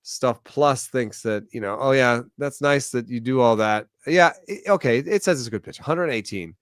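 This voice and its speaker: Male podcast guest analyst, mid pitch, measured thinking-aloud delivery, dry close recording. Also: lively intonation, no room sound. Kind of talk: casual baseball analysis chat.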